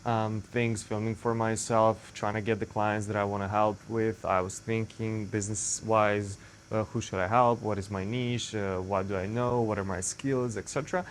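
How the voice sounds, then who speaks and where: A man's voice: on the low side, fairly even intonation, normal speed, a little hiss or hum. A young man from Serbia with a Serbian accent, speaking English as a second language in a rambling, self-correcting casual monologue to the camera. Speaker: vlogger.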